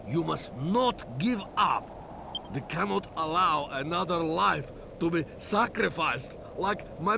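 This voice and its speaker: Earnest game villager, measured and creaky, lively swings in pitch, noisy capture. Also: male voice, heavily acted. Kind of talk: video game cutscene acting.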